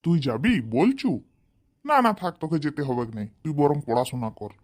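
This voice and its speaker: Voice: mid-range voice, big pitch swings, normal speed, lightly acted. A performer voicing a fond, hard-working village farmer father in a comedy cartoon. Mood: matter-of-fact.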